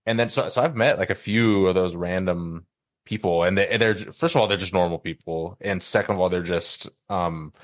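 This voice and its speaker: A man co-hosting a podcast in casual chat, talking in a halting, rambling, hedging way. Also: deep voice, no room sound, studio quality. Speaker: podcast co-host.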